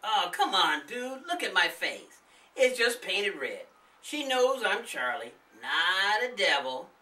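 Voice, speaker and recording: A man's voice: high voice, very animated, takes it slowly, pushed and bright, distant mic, voice pushed up, full character voice. A man voicing a mocking, know-it-all older brother, a kid in a storybook. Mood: impatient, reassuring.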